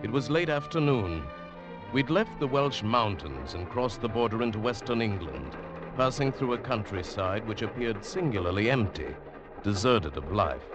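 A man playing a formal, sceptical gentleman traveller who narrates an eerie 1940s radio drama. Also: on the low side, normal speed. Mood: haunted recollection. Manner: formal, expressive, polite but incredulous. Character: reserved, sceptical English gentleman traveller recounting an eerie tale. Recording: vintage radio drama, music behind.

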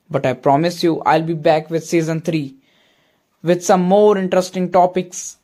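A man's voice: fairly high pitch, mellow. Earnest man hosting a podcast. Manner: earnest, repeats phrases, hesitant fillers. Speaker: podcast host. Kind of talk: hosted podcast intro and interview.

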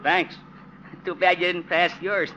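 Noisy muffled 50s radio, dim meek kid, slow hesitant delivery. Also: male voice, high-pitched, level tone. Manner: hesitant, naive, slow-witted. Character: meek, dim, eager young male cousin seeking work. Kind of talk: 1950s radio sitcom acting.

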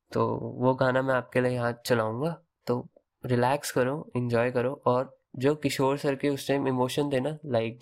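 A man's voice: medium pitch, gravelly. A young man hosting a podcast, telling a story in a loose, conversational way with English words mixed in. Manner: conversational, Hinglish fillers and restarts. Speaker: podcast host. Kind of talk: casual storytelling.

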